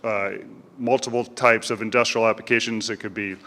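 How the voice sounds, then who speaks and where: A middle-aged man giving a measured presentation at a community meeting, with frequent fillers and restarts. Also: on the low side, little pitch movement, sharp tone. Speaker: commercial real estate broker. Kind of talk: public comment presentation. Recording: speaking in a hall with long reverb.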